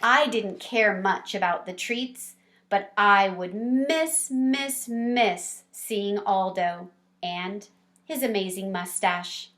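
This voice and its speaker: A woman's voice: medium pitch, slow pace, a bit bright. A woman voicing a small, cheerful, sentimental pet hamster, narrating a storybook with earnest wonder and expression. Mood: wistful.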